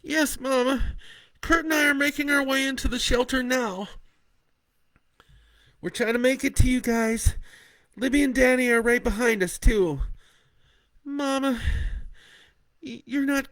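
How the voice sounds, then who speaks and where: A man's voice: very high-pitched, a little slow, long pauses, fairly bright tone, no room sound, pitched above the performer's own voice, exaggerated voice. A man doing a sobbing, shaky young daughter. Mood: tearful. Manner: shaky, quivering. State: crying.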